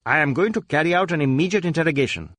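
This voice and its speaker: A man voicing a brusque, domineering police superintendent in an audiobook, with a sharp, pompous delivery. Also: a little high, lively intonation, racing, no echo, clean studio sound, voice pushed up. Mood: dry, brisk. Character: brusque, scornful, domineering middle-aged Indian police superintendent.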